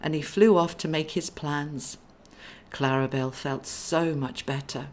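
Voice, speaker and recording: A woman's voice: low voice, steady tone, a little slow. A woman author reading her own novel as scripted audiobook narration.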